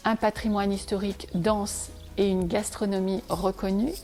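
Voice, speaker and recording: A woman whose native language is Portuguese gives a measured, articulate scripted presentation as a real estate advisor. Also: mid-range voice, a little mellow. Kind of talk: scripted promotional presentation to camera. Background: faint music.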